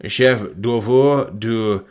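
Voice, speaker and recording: A tired man, reading long file listings aloud on a live stream in a flat, plodding way, his voice starting to give out. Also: medium pitch, drawn-out words, clean tone. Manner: flat, plodding reading of numbers with weary asides. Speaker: document narrator.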